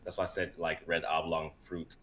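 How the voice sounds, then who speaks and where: Low, bright-voiced young man. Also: completely flat delivery, moderate pace, a little hiss or hum. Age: 20s. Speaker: writer and reader on a streamed script workshop. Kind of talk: casual chat and informal script reading.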